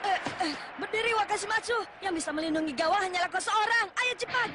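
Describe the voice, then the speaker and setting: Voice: very high-pitched, fast talker. An actor voicing a spirited boy soccer teammate in a cartoon, urging others on in urgent exclamations. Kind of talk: dubbed cartoon acting. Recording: loud music and crowd noise.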